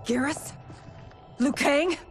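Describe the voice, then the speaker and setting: A woman playing a bewildered warrior who speaks haltingly in a dramatic video game scene. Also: sits high, faint music behind. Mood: confused, searching.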